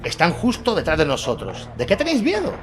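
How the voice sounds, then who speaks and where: Man acting angry police commander, raised pitch. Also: huge pitch swings, a little fast, over music. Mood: angry, challenging. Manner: shouting, challenging his men.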